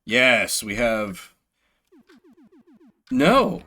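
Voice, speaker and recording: A man with a bright, forward voice and very animated pitch swings commentates on a game as he plays. He reads on-screen text theatrically and drifts into sardonic, rambling asides, with faint music underneath. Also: drawn-out words, breathy edge. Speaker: game streamer. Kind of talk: solo-voiced let's play commentary, reading game text aloud dramatically.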